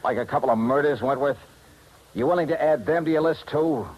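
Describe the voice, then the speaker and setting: An actor playing a tough, wry American insurance investigator in a clipped 1950s radio drama style. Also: male voice, fairly high pitch, fairly quick, lo-fi sound, a little hiss or hum, natural voice. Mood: pressing, firm. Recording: vintage 1950s radio recording.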